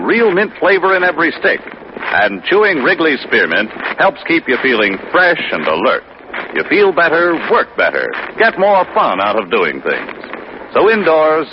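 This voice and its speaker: A man's voice: fairly high pitch, noisy background. An American man announcing commercials and credits in the style of 1950s network radio. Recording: dull old radio recording, music behind.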